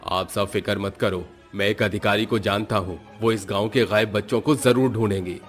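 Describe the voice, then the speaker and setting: A man playing a calm, commanding village headman in a cartoon story, measured and authoritative. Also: fairly low pitch, soft background music, natural voice. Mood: reassuring.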